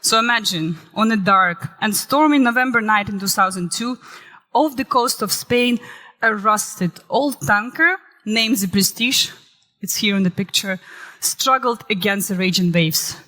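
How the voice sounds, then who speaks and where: Bright-voiced woman speaking in a reverberant hall. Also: medium pitch, a little slow. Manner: lively, shifts into dramatic storytelling. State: recovering from illness, saving her voice. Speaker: conference speaker. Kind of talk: conference talk with a dramatized story. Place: conference hall.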